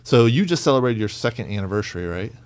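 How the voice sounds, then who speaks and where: A man's voice: mid-range voice, very animated, fast. A man hosting a church podcast in a friendly, chatty way. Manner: friendly, chatty, with fillers and restarts. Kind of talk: casual interview chat.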